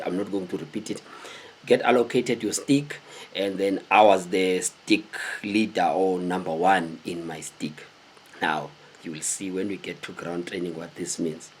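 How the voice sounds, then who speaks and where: A South African man in his thirties tells stories casually to the camera in an animated, conversational way, often putting questions to his viewers. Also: low voice, a little hiss or hum. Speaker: host telling stories of his military service. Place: small indoor room with cloth backdrop.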